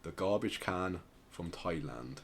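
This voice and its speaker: A man's voice: low-pitched, expressive, measured pace, gravelly, a little hiss or hum. A man hosting a podcast, explaining things in casual chat. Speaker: podcast host.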